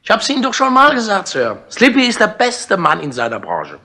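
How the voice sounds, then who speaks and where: An actor playing a wry, assured police inspector in a 1960s German crime radio play. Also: male voice, high voice, huge pitch swings, fairly quick, bright, forceful tone, heavily acted. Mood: proud.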